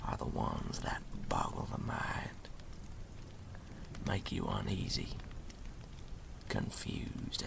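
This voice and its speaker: Folksy old-frontier story collector, played by a man: deep, heavily creaky, half-whispered and hospitable, for ASMR roleplay. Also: heavily acted. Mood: eerie, hushed. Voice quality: gravelly, breathy half-whisper.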